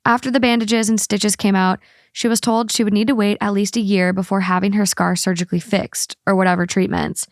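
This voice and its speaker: A woman hosts a casual podcast, moving between chat and reading stories aloud. Her voice sits at an ordinary pitch for a woman. The recording is close and dry, with no room sound. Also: level tone, fairly quick, no echo. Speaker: podcast host.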